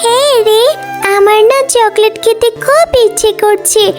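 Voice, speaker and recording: A woman's voice: very high voice, a little slow, a little mellow, soft background music. A woman voicing a whiny, pleading little animal girl in a children's cartoon. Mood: eager, pleading.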